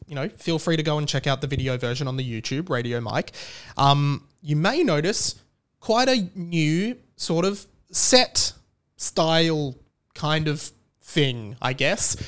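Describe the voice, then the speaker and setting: A man hosting a podcast solo in a casual, chatty style, rambling and often interrupting his own sentences. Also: on the high side. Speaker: podcast host.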